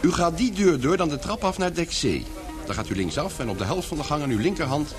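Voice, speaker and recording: Actor as eager male ship's steward, fast, higher-pitched, deferential, vintage radio drama, music behind. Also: expressive, close to an everyday voice. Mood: helpful. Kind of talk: old-fashioned Dutch radio play acting.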